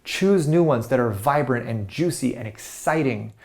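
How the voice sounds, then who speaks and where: Young man coaching viewers, talks fast and friendly, keeps asking right? you know?. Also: medium pitch, lively intonation, gravelly, darkish tone. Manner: enthusiastic and conversational, fond of "right?" and "you know". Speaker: life coach. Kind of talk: motivational coaching monologue.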